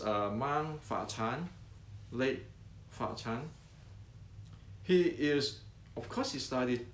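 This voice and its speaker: A man's voice: mid-range voice, a bit bright, echoey room. An older man from Indonesia with an Indonesian accent, talking earnestly as a martial arts teacher, repeating points and stumbling over fillers and restarts. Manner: earnest, repetitive, halting with many fillers and restarts. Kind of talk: unscripted talk to camera.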